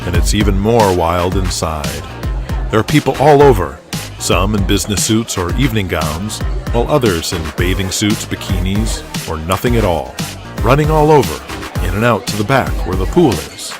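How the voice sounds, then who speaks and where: Deep-voiced male drama narrator. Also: lively intonation, moderate pace. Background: music.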